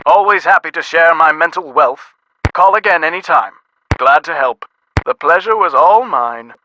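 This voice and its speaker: A man's voice: a little high, lively intonation, normal speed, background noise, close to an everyday voice. A man playing a genteel, self-satisfied antiques expert in a video game, with a pompous, courtly manner. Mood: smug, obliging.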